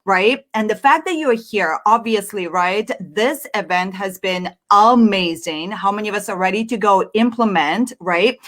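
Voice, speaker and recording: Russian accent lady in her 30s, chatty and fired up, talking to an online crowd. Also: mid-range voice. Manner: energetic, chatty, upbeat. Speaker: business speaker. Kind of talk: live online presentation.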